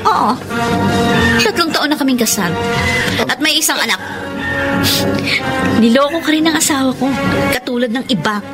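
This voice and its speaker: A betrayed Filipina wife in a radio melodrama. Her high voice is cold, firm and matter-of-fact. Loud music runs behind it. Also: lively intonation, normal speed, long pauses. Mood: cold, bitter. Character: cold, betrayed young wife confronting her husband's mistress. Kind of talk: Filipino radio romance drama acting.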